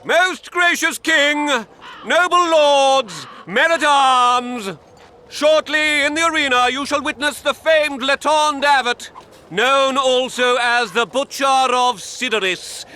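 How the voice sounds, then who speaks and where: Very high, piercing royal herald. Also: male voice, bright, forceful tone, full character voice. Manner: ceremonial, proclaiming. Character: formal royal tournament herald addressing king and lords. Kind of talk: video game voice acting.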